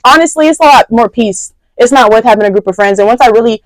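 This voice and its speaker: A woman in her twenties with an American accent chatting casually as an interview guest, candid, expressive and full of slang. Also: on the high side, fast, no room sound, rough recording. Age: 20s. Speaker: podcast guest, entrepreneur. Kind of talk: casual chat.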